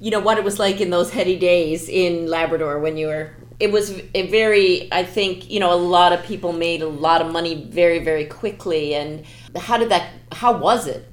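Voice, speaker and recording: Lower-voiced woman in an echoey room. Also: moderate pace, hardly any pauses. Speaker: podcast co-host asking a question. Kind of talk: casual spoken question. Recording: roomy, echoing sound.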